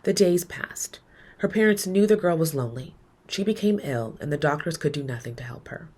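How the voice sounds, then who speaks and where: Woman, low husky voice, children's storybook read-aloud, measured and expressive delivery, soft phrase endings. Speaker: educator reading a children's book aloud.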